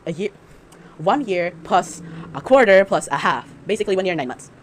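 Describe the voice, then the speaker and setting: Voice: medium pitch, animated, fairly quick. Rambling, sarcastic ranter over background noise. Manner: rambling, often stammering and self-correcting. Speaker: fan commentator. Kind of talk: unscripted opinion rant about a game. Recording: amateur recording with clear background noise.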